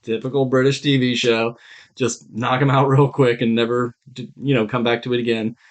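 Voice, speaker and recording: A man's voice: mid-range voice, little pitch movement, normal speed. A man co-hosting a podcast, chatting about shows in an informal, rambling, sweary way. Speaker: podcast co-host. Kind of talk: casual review chat.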